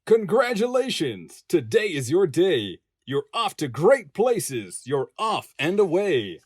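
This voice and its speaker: A man narrating a rhyming picture book expressively, in a measured, sing-song manner. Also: a little high, sing-song, husky. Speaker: voice-over narrator.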